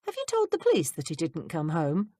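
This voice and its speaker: A woman voicing a careful, inquisitive lady who asks measured questions. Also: sits low, wildly swooping pitch, dark tone, dry recording, clean studio sound, heavily acted.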